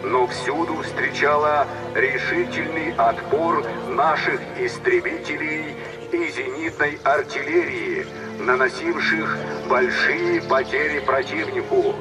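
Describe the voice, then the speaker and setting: A solemn wartime radio announcer. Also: male voice, a little high, lively intonation. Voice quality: measured, declamatory. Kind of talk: formal news bulletin reading. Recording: radio broadcast under loud music.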